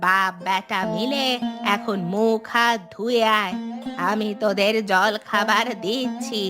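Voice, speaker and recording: A woman's voice: medium pitch, fairly even intonation, measured pace, music in the background, lightly acted. A woman voicing a hardworking, homely elderly village mother in a cartoon, given to grumbling explanations. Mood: hospitable. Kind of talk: Bengali cartoon story dialogue.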